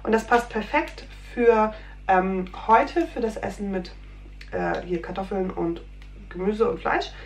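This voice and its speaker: A woman's voice: mid-range voice, a little slow. A woman in her thirties chatting casually in her home kitchen, rambling with many fillers and sounding a little under the weather. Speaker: food vlogger. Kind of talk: casual chat. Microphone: fixed camera at desk distance. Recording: roomy kitchen with faint hum.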